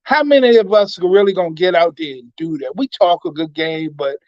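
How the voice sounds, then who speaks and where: A man's voice: high voice, brisk, a little mellow, dry recording, lo-fi sound. A man on a discussion panel, speaking earnestly and colloquially with many rhetorical questions. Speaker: talk show panelist. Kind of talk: impassioned panel talk.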